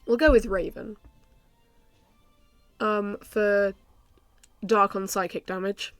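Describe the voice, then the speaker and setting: A young woman with a stuffy nose from a cold, casually commenting on her game and thinking aloud with many ums and soft laughs. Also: medium pitch, steady tone, slow, no room sound, soft background music. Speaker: gaming YouTuber.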